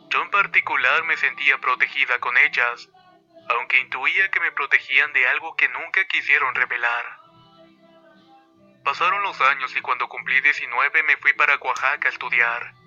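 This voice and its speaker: Brief: male storyteller, very bright and sharp tone, steady measured reading, soft music underneath. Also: medium pitch, highs cut off. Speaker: narrator. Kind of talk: scripted narration of a horror story.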